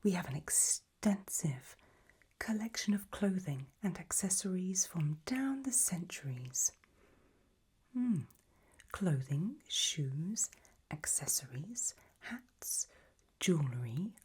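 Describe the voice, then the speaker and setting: A woman playing an elegant, macabre gothic hostess in a whispered ASMR roleplay, gracious and formal in manner, with a breathy voice. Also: lowish voice, sing-song, slow, darkish tone, heavily acted. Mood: gracious, darkly alluring. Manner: languid, formal, genteel.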